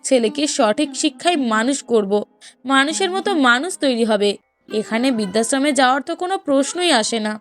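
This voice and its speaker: Casting: woman to play a sharp-tongued young mother for a moral cartoon, high voice, expressive and emphatic. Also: a little fast, faint music behind. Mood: indignant. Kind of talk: Bengali moral-story cartoon voice acting.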